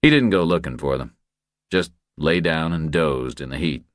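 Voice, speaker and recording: Deep-voiced male thriller narrator, dry sound. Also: moderate pace, no room sound. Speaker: audiobook narrator.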